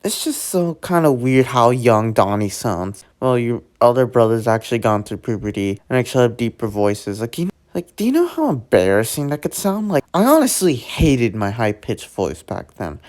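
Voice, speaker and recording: A man's voice: mid-range voice, big pitch swings, moderate pace, few pauses, a little mellow. A young man giving casual commentary on a movie trailer, rambling with many "like" fillers and restarts. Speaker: trailer reaction vlogger.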